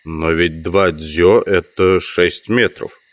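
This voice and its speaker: An actor playing an educated, proud and skeptical entomologist in an audio drama, reasoning in a pedantic way. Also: male voice, deep voice, fairly even intonation, takes it slowly, dry recording. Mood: concerned, shaken. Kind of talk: Russian audio drama acting.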